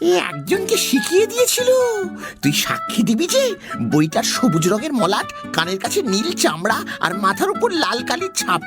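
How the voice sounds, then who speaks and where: A woman's voice: fairly high pitch, wildly swooping pitch, normal speed, over loud music. A woman voicing a silly, giggly nonsense creature that rambles comically in a children's audio story. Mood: silly, eager. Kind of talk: audio story character acting.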